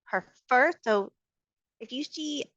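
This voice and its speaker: Hoarse woman teaching an online class. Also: high-pitched, expressive, slow pace, a bit bright, no echo. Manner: patient, encouraging feedback. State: hoarse from a cold. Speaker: sign language instructor. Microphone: video call. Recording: dull, dry video call audio.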